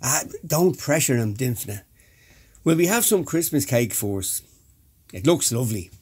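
A man plays a good-natured Irish dinner guest in a radio comedy. He has a lively, swinging pitch and a soothing, appeasing manner. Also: a little slow, breathy edge. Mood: contented. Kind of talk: comedy radio drama acting.

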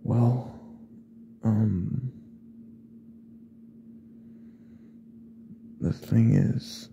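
A man playing a possessive, obsessive young classmate in an ASMR roleplay, speaking in a hesitant, breathy half-whisper. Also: fairly low pitch, extremely slow, dull audio, a little hiss or hum, exaggerated voice. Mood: suspicious, probing. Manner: hesitant, hushed, probing.

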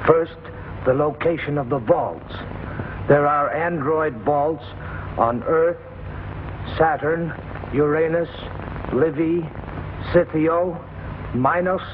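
An actor playing a secretive spy giving a deliberate, carefully enunciated report in a 1950s television drama. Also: male voice, a little high. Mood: hushed urgency. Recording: noisy, tinny 1950s TV radio message.

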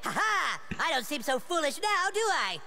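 A man voicing a villainous boy who plays a taunting, mock-grandiose dark lord in an animated comedy game. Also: extremely high pitch, moderate pace, gravelly, heavy background noise, exaggerated voice. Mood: gloating. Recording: game audio under loud music.

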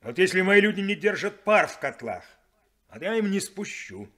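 An actor playing a defiant, sardonic ship's chief engineer in a 1970s radio play. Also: male voice, sits high, sing-song, normal speed, bright, forceful tone, full character voice.

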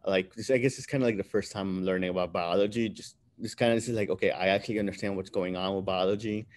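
Guy in his 30s on a video call, kinda low voice, lots of "uh" and "like", laughs a lot. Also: quick pace. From born in Peru, raised in New Jersey. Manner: relaxed, many fillers, laughs between phrases. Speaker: interview guest, scientist. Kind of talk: casual video-call storytelling. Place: room with bright window behind. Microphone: webcam on video call.

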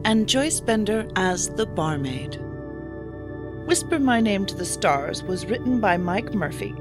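A woman narrator reading the closing credits in a clear, friendly announcer's manner. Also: on the low side. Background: new-age music.

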